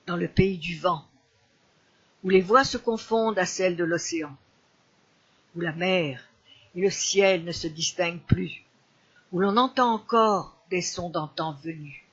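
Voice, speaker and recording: A man with a high voice recites legendary poetry at a slow pace. His delivery is solemn and declamatory, with measured phrases. The recording is slightly dull. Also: a bit bright, husky. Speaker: poetry reader.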